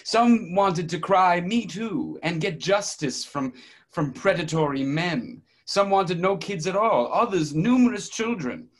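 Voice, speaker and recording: A man's voice: sits high, lively intonation, unhurried. A man acting in a readers' theatre piece, playing a pompous storyteller king who declaims comic rhymed verse. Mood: storytelling. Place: indoors at home on a video call.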